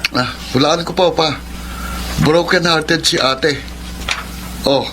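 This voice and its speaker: A man's voice: on the high side, takes it slowly. A young man playing a blunt, teasing younger brother in a Tagalog radio drama. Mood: teasing curiosity. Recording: noisy background ambience.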